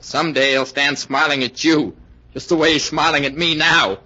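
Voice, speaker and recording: An actor playing an earnest, lovesick young fiance in an intense, pleading 1940s radio style. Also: male voice, fairly high pitch, steady tone, moderate pace, bright, forceful tone, slightly rough. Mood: bitter warning. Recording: dull vintage 1940s radio recording.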